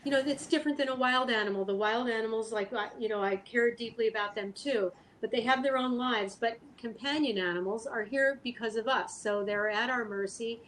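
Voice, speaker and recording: A woman speaking as an interview guest, answering casually in an earnest, halting, thoughtful way. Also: on the high side, a bit bright, slight background noise, low-quality recording. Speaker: guest artist and animal rescue volunteer.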